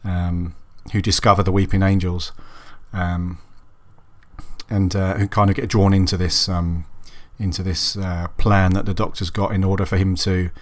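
A man hosting his own podcast, hoarse and husky from a cold, chatting casually and rambling with many ums and restarts. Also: low-pitched, level tone, normal speed. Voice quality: raspy, husky. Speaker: podcast host. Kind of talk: casual solo podcast chat.

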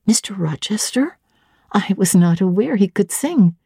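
Woman voicing a lighter-pitched, curious young governess. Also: animated, a little slow, vocal fry, mellow, slightly rough, no echo, clean studio sound, exaggerated voice. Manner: polite, inquisitive, probing. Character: young governess, earnest, curious, eagerly questioning. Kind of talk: dramatic audiobook reading of classic literature.

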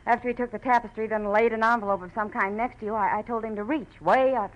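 A woman's voice: fairly high pitch, fairly even intonation, fast talker, lightly acted. An American actress playing a sassy, defiant, streetwise young woman in an old radio detective drama, wisecracking and insistent. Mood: wry, flustered. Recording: dull, slightly noisy old radio recording.

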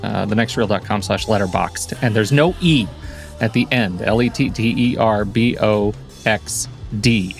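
A man co-hosting a podcast in casual chat, talkative and quick to laugh at his own slips. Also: fairly low pitch, creaky, soft background music. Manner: chatty, self-correcting, laughs easily. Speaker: podcast co-host.

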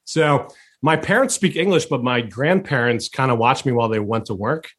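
A man's voice: medium pitch, animated, normal speed, a bit bright, slightly rough. A man in his thirties from New York, a podcast guest who talks in a laid-back, joking way with many fillers. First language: Chinese. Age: 30s.

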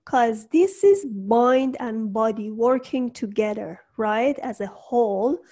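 A woman hosting a conversational interview, speaking English with halting, non-native phrasing. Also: fairly high pitch, warm tone. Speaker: interview host, coach.

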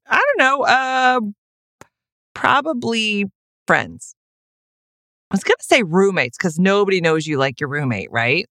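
A woman's voice: medium pitch, pushed and bright, no echo, studio quality. Playful woman co-hosting a chat show. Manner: playful, self-mocking, laughs often. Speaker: podcast co-host. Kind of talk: casual chat.

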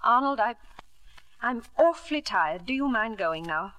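An actress playing a proud, disillusioned middle-aged wife and mother, crisp and reproachful, in a 1950s radio drama. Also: fairly high pitch, lively intonation, a little slow, fairly bright tone, poor audio. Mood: pained, earnest. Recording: vintage 1950s radio broadcast.

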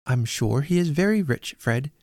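A man's voice: fairly high pitch, lively intonation, moderate pace, a little mellow, dry recording, clean studio sound, voice pushed up. A man voicing an earnest, indignant young wife in a storybook reading. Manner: earnest, emphatic.

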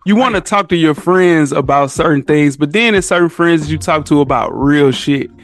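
Higher-voiced American man, slangy casual talk. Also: normal speed, soft background music. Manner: slangy, peppers talk with "man", "bro" and "you know". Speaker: podcast guest. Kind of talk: casual chat.

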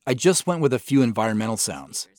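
Lively-pitched man giving director's commentary. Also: quick pace, dry recording. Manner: reflective, explanatory. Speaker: audio drama creator.

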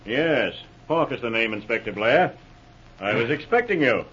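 An actor playing a smug, menacing smuggling boss, mock-polite and taunting, in a 1940s radio drama. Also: male voice, mid-range voice, very animated, moderate pace, a bit bright, husky. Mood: smug menace. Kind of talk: 1940s radio villain acting. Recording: muffled old radio recording.

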